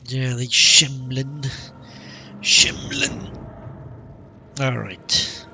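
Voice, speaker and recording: A man's voice: medium pitch, fairly even intonation, drawn-out words, sharp tone, a little hiss or hum. A man streaming a video game, casually thinking aloud as he comments on his play. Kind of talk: live gameplay commentary, casual. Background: prominent game music.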